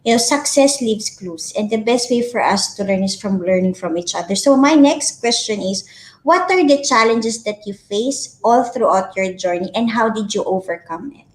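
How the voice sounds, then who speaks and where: A woman's voice: mid-range voice, expressive, normal speed. A woman hosting an interview, affirming her guest in a friendly way before she moves on to the next question. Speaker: host. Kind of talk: casual interview conversation. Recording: echoey room.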